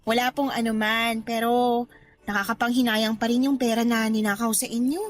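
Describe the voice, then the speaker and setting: A woman's voice: on the high side, flat delivery, normal speed, lightly acted. An actress playing a kind, respectful young woman in a radio drama, speaking politely and earnestly. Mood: admiring, earnest. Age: young adult. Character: kind, admiring, respectful young female helper. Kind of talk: Tagalog radio drama acting.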